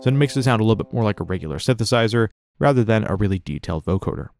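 A man narrating a casual step-by-step software tutorial in an informal, explanatory way. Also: fairly low pitch, animated, very fast, creaky, music in the background.

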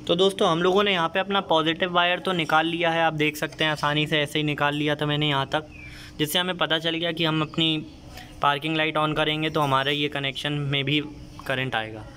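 A young man talks viewers through a car wiring job in a friendly, matter-of-fact way, his voice sitting a little high. He is recorded on a handheld phone outdoors beside the car. Faint ambient noise runs underneath. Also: a bit bright. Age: young adult. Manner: chatty step-by-step explaining, addressing viewers as friends. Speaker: DIY car modification vlogger. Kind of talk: casual how-to explanation. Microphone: handheld phone camera.

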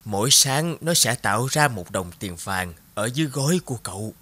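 Guy doing mysterious poor granny in a storybook, raspy, dry sound. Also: mid-range voice, animated, fairly quick, pushed and bright, no echo. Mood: kindly, pleading. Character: poor hungry old woman, kindly and mysterious. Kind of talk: storybook narration.

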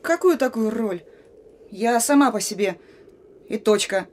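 An actress playing a stern, suspicious young partisan sentry in a radio play, giving brusque, folksy orders. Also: female voice, a little high, expressive, measured pace. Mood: wary, gruff. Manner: brusque, rustic colloquial commands. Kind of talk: Russian radio play acting. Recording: radio drama with music.

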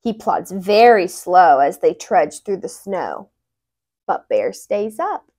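Young woman, children's read-aloud, lively swooping intonation, very slow pacing, expressive, lapel mic. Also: fairly low pitch. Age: young adult. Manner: animated, with brief asides explaining words. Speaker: tutor reading a picture book aloud.

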